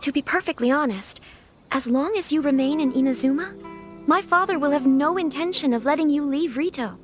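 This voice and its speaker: A woman plays a young noblewoman with a high voice, formal and composed. She sounds refined and resolute, in a video game cutscene with faint music underneath. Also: fairly quick, lightly acted. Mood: earnest, confiding. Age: young adult.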